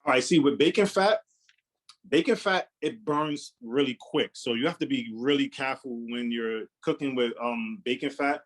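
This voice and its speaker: A young adult man chef answering cooking questions in a casual live demo, with a loud, carrying voice, often repeating words and using fillers. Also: medium pitch, normal speed.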